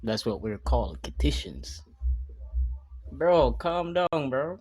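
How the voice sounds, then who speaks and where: A man's voice: medium pitch, big pitch swings, takes it slowly, darkish tone. A man reacting to a video, blunt and mocking, cursing freely. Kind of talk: casual reaction commentary.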